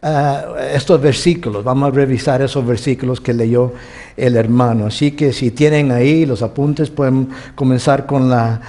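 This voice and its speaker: A man teaching from the Bible to a congregation, with Costa Rican and Mexican Spanish and a halting manner full of restarts. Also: medium pitch, steady tone. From United States, California. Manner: warm, halting with many restarts, chuckles often. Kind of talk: sermon teaching.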